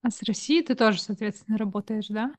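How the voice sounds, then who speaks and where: A woman's voice: medium pitch, quick pace, no echo. A woman hosting a casual interview, curious and asking her guest friendly questions. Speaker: interview host.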